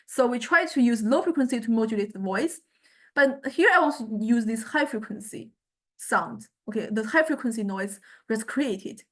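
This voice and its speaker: Higher-voiced woman lecturer, hesitant delivery. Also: expressive, normal speed. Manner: hesitant, thinking aloud while demonstrating. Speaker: music technology lecturer. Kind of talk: informal software demonstration lecture.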